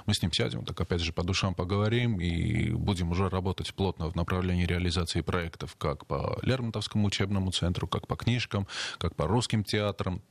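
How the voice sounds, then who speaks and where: A man's voice: deep voice, fairly even intonation, brisk, gravelly, dry recording. A man from Armenia, a member of parliament answering interview questions earnestly and emphatically, often repeating his key phrases.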